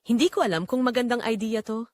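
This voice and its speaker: A woman's voice: on the high side, fast, no echo, clean studio sound, close to an everyday voice. A woman playing a hesitant, smitten mother in an audio romance, shy and reluctant. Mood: yielding.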